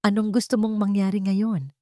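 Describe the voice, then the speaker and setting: A woman's voice: medium pitch, level tone, moderate pace, mellow, no room sound, clean studio sound, voice pushed up, lightly acted. A Filipino woman voicing a guarded, bitter young single mother in a storybook drama, curt and hurt. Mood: wary, bitter.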